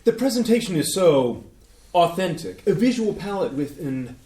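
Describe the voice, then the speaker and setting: A man's voice: high-pitched, sing-song, moderate pace, dark tone, reverberant. A man reading the part of a pretentious, mocking food snob, with arch, theatrical sarcasm, in a community staged reading. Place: small community playhouse stage.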